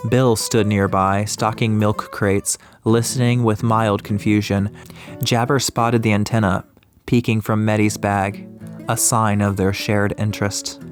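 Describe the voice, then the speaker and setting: A man reads an atmospheric story as an audiobook narrator. His voice is low. Music plays clearly behind the scripted narration. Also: level tone, a little slow.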